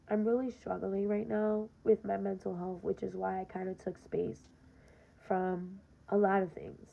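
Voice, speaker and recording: A young woman with a raspy voice, hosting her podcast and speaking earnestly and directly to listeners in a casual personal monologue, often saying "um" and "you know". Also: medium pitch. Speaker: podcast host. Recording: dull lo-fi recording.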